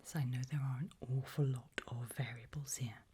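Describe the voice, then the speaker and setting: A woman whispering in a breathy, hushed and deliberate ASMR style, putting questions to the listener. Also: extremely low pitch, fairly even intonation, a little hiss or hum. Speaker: ASMR host.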